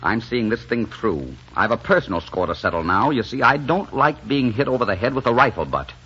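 Husky, stubborn radio-drama hero. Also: male voice, medium pitch, lively intonation, brisk, bright, forceful tone. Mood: probing, intense. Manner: intense, insistent. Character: stubborn, vengeful romantic leading man. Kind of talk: 1940s radio drama acting. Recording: muffled 1940s radio broadcast.

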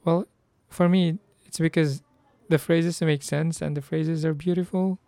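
Hoarse young Filipino man chatting. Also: a little high, fairly even intonation, measured pace, mellow, dry recording. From Philippines. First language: Filipino language. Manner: chatty, self-correcting, reads phrases aloud. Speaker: language learner. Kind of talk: casual vlog about learning Korean.

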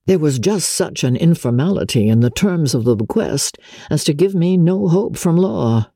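A woman voicing a wronged, genteel young gentleman officer in a dramatic storybook reading, measured, plaintive and confiding. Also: low-pitched, expressive, husky, no echo, clean studio sound, voice pushed up, heavily acted. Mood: aggrieved, confiding.

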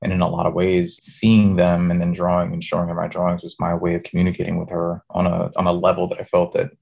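Deep gravelly New man. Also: monotone, a little mellow. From New York City. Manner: thoughtful, measured answers. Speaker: design professor, guest. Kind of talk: podcast interview.

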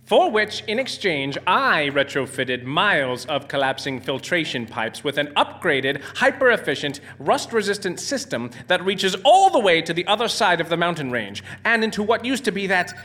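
Eccentric doctor, pompous n oblivious, voice swoops all over the place, theatrical audio drama stuff. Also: male voice, a little high, pushed and bright. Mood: indignant, proud. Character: eccentric, boastful, cheerfully oblivious male scientist, Doctor.

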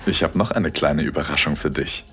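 A man playing a devoted, teasing, gently dominant husband in an intimate audio drama, speaking softly and reassuringly. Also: extremely low pitch, brisk, soft background music. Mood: affectionate teasing.

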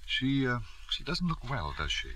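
A man's voice: mid-range voice, big pitch swings, normal speed, bright, forceful tone, a little hiss or hum. An actor playing a genial but domineering middle-aged tycoon in 1950s radio drama, hearty and self-important in his manner. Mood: self-satisfied.

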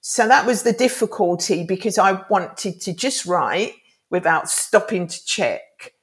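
A woman's voice: medium pitch, animated, unhurried. Earnest bilingual woman, Greek first language. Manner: earnest, rambling, many restarts. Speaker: author and life coach. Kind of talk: casual podcast interview.

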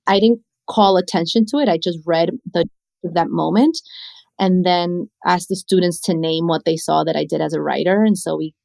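Creaky-voiced woman telling teaching stories. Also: lowish voice, moderate pace, a little mellow, dry recording. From born in Chile, came to the United States when little. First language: Spanish. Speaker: educator and author. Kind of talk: conversational interview answer with anecdotes.

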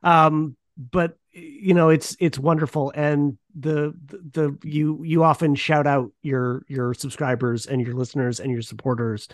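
A man's voice: on the high side, flat delivery, measured pace, few pauses, no echo. Chatty male podcast host, dry close sound. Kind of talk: casual interview chat.

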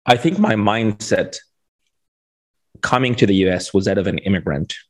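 A man's voice: lowish voice, normal speed. Middle-aged man explaining things. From Egypt. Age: 40s. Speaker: interview guest, venture capitalist. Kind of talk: casual conversational interview. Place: remote video call.

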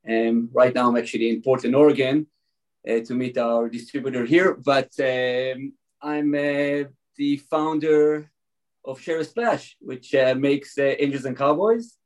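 A middle-aged man with an Israeli accent, chatting as a guest with plenty of ums and uhs. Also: medium pitch. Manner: relaxed, many ums and uhs. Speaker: guest, wine founder. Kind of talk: casual interview chat.

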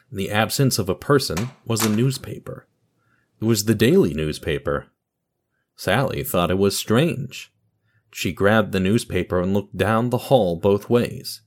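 A man who hosts an audio drama podcast, reading scripted narration and announcements in a dramatic storytelling way. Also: lowish voice.

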